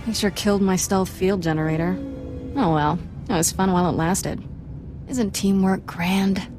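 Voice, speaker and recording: A woman's voice: medium pitch, fairly even intonation, normal speed, lightly acted. An actress playing a cocky, wisecracking young female agent in a video game, with a wry, breezy manner. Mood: flippant. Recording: music playing behind.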